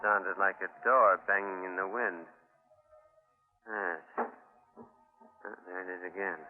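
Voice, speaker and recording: An actor playing an anxious, protective husband with a strained manner in vintage radio horror. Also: male voice, sits low, fairly even intonation, slow, narrow, tinny audio. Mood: wary. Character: anxious, protective husband, firm then distraught. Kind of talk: 1940s radio horror acting. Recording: noisy, thin old radio broadcast.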